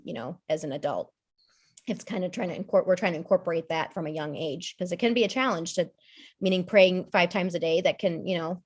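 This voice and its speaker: A woman with a slightly low voice speaks as a podcast guest in a loose, conversational way. She is in her thirties and thinks aloud, circling back with fillers, restarts and small asides. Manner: thoughtful, rambling, many fillers and restarts. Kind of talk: casual conversational discussion.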